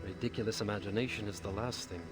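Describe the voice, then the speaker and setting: An actor playing a pompous, self-assured company executive speaking formally in a video game. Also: male voice, lowish voice, steady tone, quick pace, over loud music, close to an everyday voice. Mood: dismissive, promotional. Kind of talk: scripted interview speech in a video game.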